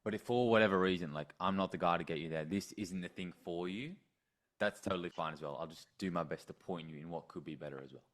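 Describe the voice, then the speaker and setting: A man with a deep, very low voice talks as a coach in a casual sales conversation. His phrasing is informal, with short words of agreement. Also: quick pace. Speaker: coach taking sales calls.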